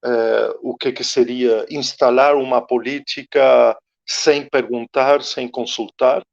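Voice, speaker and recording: A man with an Argentine Spanish accent, a native Spanish speaker, giving a reflective academic talk as a guest, slow and searching with many hesitations. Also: medium pitch, steady tone, vocal fry, highs cut off.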